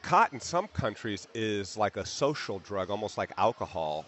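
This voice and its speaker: A man interviewing a guest in a casual, curious way, nudging the talk along with quick "yeah"s. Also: lowish voice, big pitch swings, a bit bright, a little hiss or hum. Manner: curious, easygoing, short affirmations.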